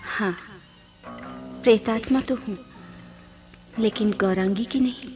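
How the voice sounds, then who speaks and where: A yearning female spirit in a radio drama. The voice is on the high side and very unhurried, slipping into whispers as it pleads. Soft music plays beneath it. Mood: mournful, mysterious. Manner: slow, breathy, half-whispered pleading with pauses. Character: sorrowful female ghost yearning for love, seductive, pleading. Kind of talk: radio play acting. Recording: music under the voice.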